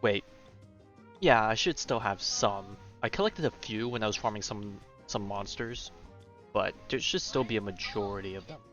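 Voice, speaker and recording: Low-voiced young man, rambling. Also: normal speed, fairly bright tone, highs cut off, soft background music. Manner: rambling, self-interrupting, informal. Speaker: game streamer. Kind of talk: casual livestream chat over gameplay.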